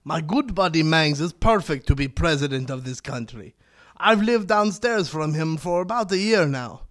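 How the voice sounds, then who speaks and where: A friendly downstairs neighbour played by a man in a parody campaign spot. He has a somewhat high, everyday voice and a plain testimonial delivery. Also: lively intonation, normal speed, fairly bright tone. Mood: sincere. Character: friendly, ordinary downstairs neighbour endorsing his buddy.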